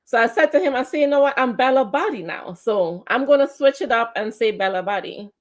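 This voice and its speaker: A woman hosting an online talk radio show, telling stories in a lively way and now and then singing lines of her own song. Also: a little high, brisk. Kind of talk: casual radio chat with sung song excerpts.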